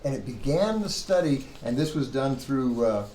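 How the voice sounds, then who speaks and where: A man's voice: mid-range voice, expressive, normal speed, a little mellow. A man gives an informal lecture in an echoey room, with some background noise around his voice. He talks conversationally, asking rhetorical questions and correcting himself along the way. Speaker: seminary Hebrew lecturer. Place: classroom with students. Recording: roomy echo, clear background noise.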